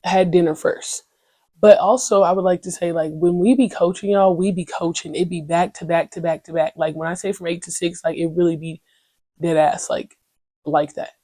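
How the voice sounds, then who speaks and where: A woman's voice: on the low side, steady tone, normal speed, warm tone, no echo. A young woman hosting her own podcast, chatting candidly in a slangy, rambling way full of "you know what I'm saying.". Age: young adult. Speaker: podcast host. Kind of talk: casual solo podcast chat.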